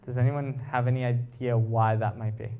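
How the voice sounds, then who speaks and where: A man's voice: medium pitch, little pitch movement, moderate pace, clean tone, narrow, tinny audio. A man giving a technical talk to a live audience, explaining things informally and putting questions to his listeners. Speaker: presenter, researcher.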